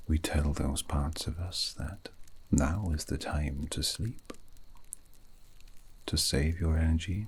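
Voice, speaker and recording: A man playing a calm, wise elven mage in an ASMR roleplay, speaking in a breathy, gravelly half-whisper with a slow, poetic, soothing manner. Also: very deep voice, a little hiss or hum, heavily acted. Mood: gentle, lulling. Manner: slow, measured, poetic, tender.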